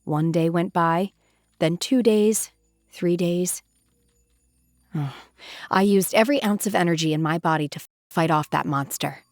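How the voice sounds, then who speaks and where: An actress voicing a reserved, earnest young woman exorcist from a video game, speaking in a formal, measured, detached way. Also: fairly low pitch, dry recording, studio quality, soft background music. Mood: somber. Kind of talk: scripted video game character voice lines.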